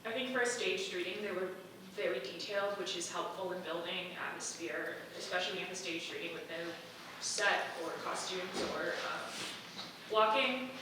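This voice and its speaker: A woman's voice: fairly low pitch, moderate pace. A woman giving informal, constructive feedback as a member of an audience. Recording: very echoey room, distant mic, clear noise.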